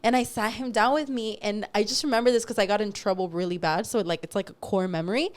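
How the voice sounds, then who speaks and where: A young woman co-hosting a podcast, telling stories in a lively way, acting out quotes and dropping into Spanish. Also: medium pitch, fast. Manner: animated storyteller, acts out quotes, code-switches to Spanish. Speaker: podcast co-host. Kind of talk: casual storytelling chat.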